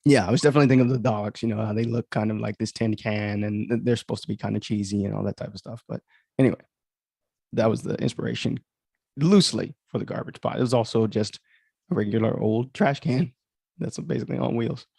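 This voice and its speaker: A man's voice: on the low side, fast talker, gravelly, a little mellow, slightly rough, dry recording, studio quality. A man giving casual, rambling livestream commentary as an author and host, full of conversational asides. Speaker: author hosting a livestream of their audio drama.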